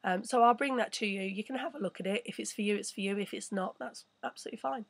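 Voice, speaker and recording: A woman hosting a casual live stream, chatting and rambling with a croaky voice. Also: mid-range voice, fast, no echo. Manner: chatty, rambling, reads viewer messages. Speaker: crypto channel host.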